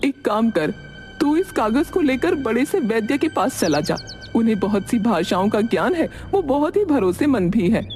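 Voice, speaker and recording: A woman's voice: on the high side, very animated, normal speed. A woman playing a loving, ailing mother of a grown son in a Hindi cartoon story, tender and encouraging. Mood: caring. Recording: loud background music.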